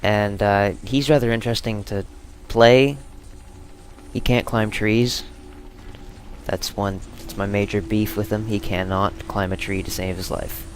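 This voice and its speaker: A man's voice: lowish voice, fairly even intonation, unhurried, slight background noise. A man giving casual, meandering video game commentary with playful asides. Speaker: gaming channel host.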